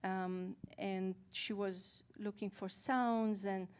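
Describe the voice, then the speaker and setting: A woman co-translator who is a native Farsi speaker, talking on a panel, hesitant and pausing often to search for words. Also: medium pitch, steady tone, clear, resonant tone. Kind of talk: casual panel talk.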